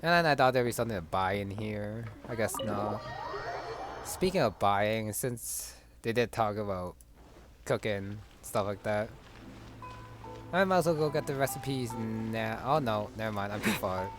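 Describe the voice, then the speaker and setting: A man's voice: on the low side, expressive, a little slow. A man giving casual let's-play commentary, musing to himself in short remarks as he plays a video game. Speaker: gamer commenting on his playthrough. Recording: game music under the commentary. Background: game music.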